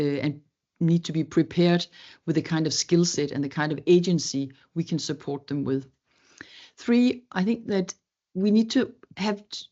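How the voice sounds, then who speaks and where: A woman's voice: low voice, a little mellow, highs cut off. A woman from Denmark, speaking as a panelist in an academic webinar in an earnest, reflective way, searching for words.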